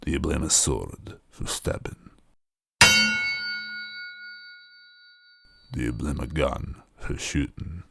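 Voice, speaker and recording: Gravelly, abyssal-deep metal giant. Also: male voice, heavy background noise, full character voice. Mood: cold, detached. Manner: stony, slow-burning, imperious. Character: enormous cold metal smith god, stony and menacing.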